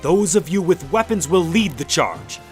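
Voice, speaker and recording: An actor playing a grandiose, commanding mob leader making a rallying speech in a radio drama. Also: male voice, on the high side, expressive, moderate pace, soft background music, close to an everyday voice. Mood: rousing, urgent. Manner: oratorical.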